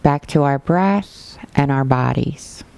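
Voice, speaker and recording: A woman teaching a guided body scan meditation, giving gentle, unhurried instructions with pauses. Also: extremely low pitch, vocal fry, smooth.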